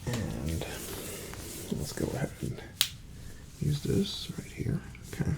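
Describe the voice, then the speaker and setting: A man speaking softly and breathily, often near a whisper, in a gentle, reassuring ASMR doctor roleplay. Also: medium pitch, wildly swooping pitch, rough recording. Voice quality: soft-spoken, breathy, often dropping to a whisper. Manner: gentle, reassuring, unhurried murmuring to the listener. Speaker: ASMR performer playing a doctor. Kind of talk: ASMR medical exam roleplay.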